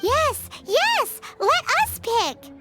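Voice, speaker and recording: A woman voicing a tiny, childlike, excitable and cheeky fairy companion in a video game. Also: extremely high pitch, very slow, full character voice. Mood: excited. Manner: speaks of herself in third person, exclaiming. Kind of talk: video game character acting. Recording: studio voice under loud music.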